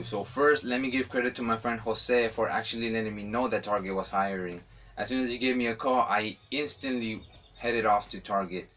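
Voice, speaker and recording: Young man, Spanish-accented English, lowish voice, casual vlog storytelling, chatty with fillers. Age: young adult. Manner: relaxed, chatty, frequent fillers. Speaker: vlogger.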